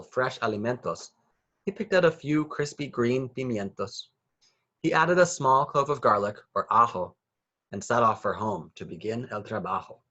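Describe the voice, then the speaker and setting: A man's voice: medium pitch, bright, forceful tone, slightly rough, lo-fi sound. A man reading a children's picture book aloud with rhythmic, sing-song phrasing. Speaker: children's story reader.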